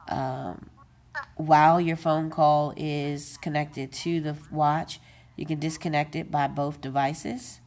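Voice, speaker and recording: A woman with a low voice explains a device step by step. She takes her time and talks off the cuff, with fillers and quick corrections. It sounds like a casual home tutorial. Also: a little mellow. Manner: unscripted, with many fillers and self-corrections. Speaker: tech video presenter. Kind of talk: casual how-to demonstration.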